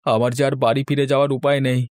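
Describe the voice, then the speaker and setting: Actor as indignant, whining village husband. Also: male voice, medium pitch, little pitch movement, rapid-fire, a little mellow, clear, resonant tone, no room sound, studio quality, close to an everyday voice. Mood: aggrieved. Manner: whining, complaining, exclamatory. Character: indignant, put-upon village husband, a stingy schemer. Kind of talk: Bengali fairy-tale cartoon dialogue.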